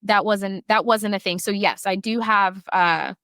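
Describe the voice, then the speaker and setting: A woman's voice: medium pitch, measured pace, a bit bright, smooth, no echo, studio quality. A woman guest on a podcast, telling personal stories in a frank, talkative way and swearing casually.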